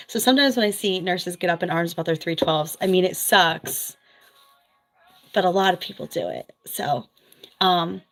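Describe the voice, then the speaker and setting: A chatty woman with a Philadelphia accent, talking frankly and casually to her viewers. She throws in quick asides, and soft music plays faintly behind her. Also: medium pitch. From US East Coast, New Jersey. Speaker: vlogger, former nurse. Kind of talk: casual livestream chat reading viewer comments.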